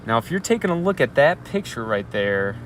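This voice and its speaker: An animated man's voice reads a children's bedtime story with big swings in pitch. He often steps out of the tale to comment or put a question to listeners. He is outdoors, with faint traffic noise behind him. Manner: expressive, pausing to ask and comment to listeners. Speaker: elementary school educator reading a bedtime story. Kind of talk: read-aloud storybook narration with asides. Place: outdoors on a school roof at night.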